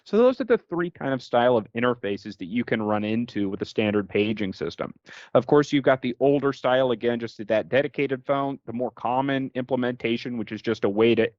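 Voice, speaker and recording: A man's voice: mid-range voice, animated, a little fast, a little mellow, no echo, lo-fi sound. An American man presenting an informal technical slide lecture in a conversational, colloquial way, explaining with everyday examples. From United States.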